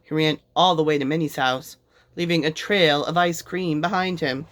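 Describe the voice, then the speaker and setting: A woman's voice: sits low, fairly even intonation, moderate pace. A woman reading a children's story aloud as narrator. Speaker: storybook reader.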